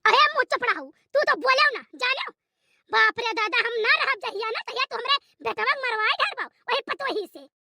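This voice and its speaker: Cartoon role: a very high, bright, shrill old village mother-in-law, always scolding, as a woman puts her on. Dry, close sound. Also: expressive, normal speed, vocal fry, no echo, heavily acted. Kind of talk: cartoon voice acting.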